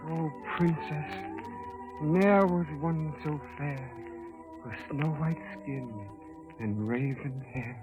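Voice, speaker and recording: An actor playing an earnest, romantic young prince in a 1930s radio fairy tale, with ardent, poetic delivery. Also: male voice, on the high side, takes it slowly, narrow, tinny audio. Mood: grieving, reverent. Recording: vintage 1930s radio recording, music behind.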